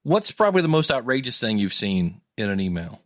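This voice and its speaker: A man's voice: mid-range voice, sing-song, brisk, a bit bright. A man casually hosting a podcast and chatting with contributors. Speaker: podcast host.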